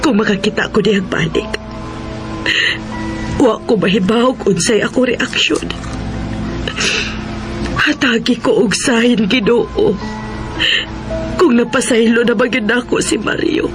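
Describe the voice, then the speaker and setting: A woman's voice: on the high side, unhurried, long pauses, natural voice. Anguished Filipina mother over music acted by a woman. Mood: anguished prayer. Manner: pleading, emotional. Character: anguished, forbearing wronged wife and mother. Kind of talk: Filipino radio drama acting. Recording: radio drama with music underneath.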